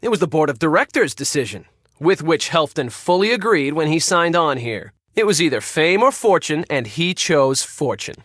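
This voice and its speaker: An actor playing a bossy, irritable and secretly crooked spa manager in a video game, curt and sarcastic. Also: male voice, a little high, big pitch swings, moderate pace, a bit bright, no echo. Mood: scornful, exasperated. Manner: curt, sarcastic, barking orders.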